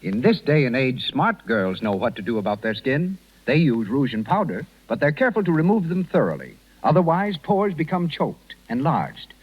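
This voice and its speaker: A man's voice: mid-range voice, sing-song, moderate pace, hardly any pauses, slightly rough. An American man working as a radio announcer, reading scripted advertising in 1930s broadcast style. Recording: dull 1930s radio recording.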